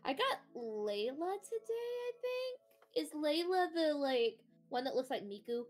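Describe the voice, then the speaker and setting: A young woman chatting casually while gaming with a friend, excitable and teasing. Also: high voice, unhurried. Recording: music behind the voice. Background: music.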